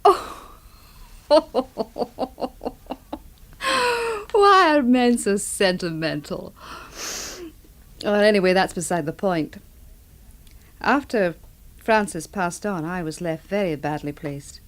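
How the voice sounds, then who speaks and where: A woman's voice: fairly low pitch, animated, slow, long pauses. An actress playing a frank, good-natured, motherly middle-aged village widow in a radio drama, homely and forthright. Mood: frank, unsentimental.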